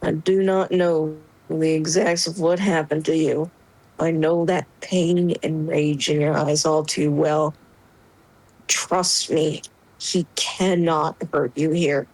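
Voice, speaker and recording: A woman voicing a solemn, protective female warrior who speaks low and hushed in a dramatic reading. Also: little pitch movement, slow, no echo, full character voice, poor audio. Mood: solemn, reassuring. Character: grave, protective female warrior, empathetic and steadfast.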